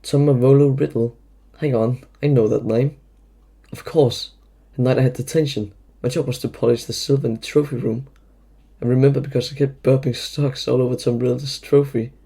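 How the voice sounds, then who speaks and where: A man plays a wry schoolboy sidekick in an audio drama. His voice is gravelly and mellow, and he sounds blunt and incredulous. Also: medium pitch, steady tone, normal speed. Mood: excited recall. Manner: sarcastic, incredulous.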